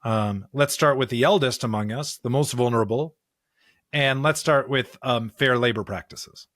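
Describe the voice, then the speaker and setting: An American man with a mid-pitched voice talks as a podcast co-host in a conversational religious discussion. He is earnest and emphatic, stacking repeated phrases to drive points home. The sound is dry, with very little room around it. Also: moderate pace, a bit bright, dry recording.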